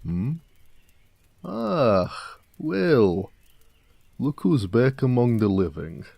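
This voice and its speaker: A man playing a gentle, affectionate orc blacksmith in a romantic audio roleplay, speaking tenderly with some teasing. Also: medium pitch, big pitch swings, extremely slow, creaky, noisy background, full character voice. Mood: fond, teasing.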